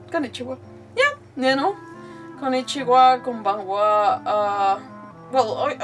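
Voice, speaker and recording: A woman live streamer giving casual, animated commentary on a game, thinking aloud in a giggly, chatty way. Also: high voice. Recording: slightly distant mic, faint game music. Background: faint game music.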